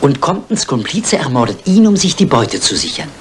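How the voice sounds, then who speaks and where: An actor playing a timid, loyal older gentleman, a detective's courteous and easily flustered sidekick in a German crime drama. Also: a little high, sing-song, normal speed, a little hiss or hum. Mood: earnest.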